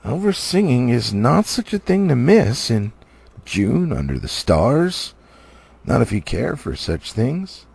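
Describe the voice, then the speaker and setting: Man, storybook narration, very animated pitch, raspy, occasional stumbles. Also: slow, no echo. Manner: animated, slightly stumbling cold read. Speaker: amateur audiobook reader.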